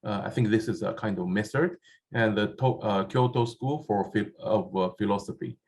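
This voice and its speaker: A man whose first language is not English chairs a seminar and introduces the speaker, halting often with fillers and restarts. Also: lowish voice, darkish tone. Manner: halting, many fillers and restarts, laughs at himself. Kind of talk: hesitant spoken introduction. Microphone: handheld or desk microphone.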